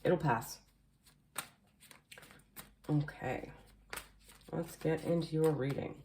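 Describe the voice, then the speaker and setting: Low-voiced chatty woman reading tarot. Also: fairly even intonation, slow, mellow, a little hiss or hum. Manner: chatty, rambling with many fillers, occasional coughs. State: slightly nasal and hoarse from allergies. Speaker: tarot reader. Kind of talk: casual tarot card reading. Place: indoors at a table at home. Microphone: camera facing the table, speaker mostly off screen.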